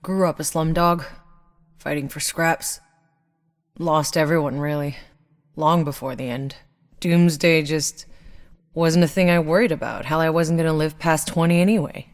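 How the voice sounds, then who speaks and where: Video game heroine: seasoned fighter, tough and sardonic, low register, blunt wry delivery, close dry sound. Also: female voice, steady tone, unhurried, pauses often, no echo. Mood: weary, wry. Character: tough, sardonic, battle-hardened female soldier, game protagonist. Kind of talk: video game character voice lines.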